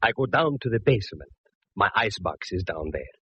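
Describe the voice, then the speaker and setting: An actor playing an anxious, courteous Italian restaurant owner in old radio drama, husky, with an Italian accent and a formal, pleading manner. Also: male voice, lowish voice, very animated, a little fast, a bit bright, no echo, exaggerated voice. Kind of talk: 1940s-50s radio drama acting. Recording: muffled vintage radio recording.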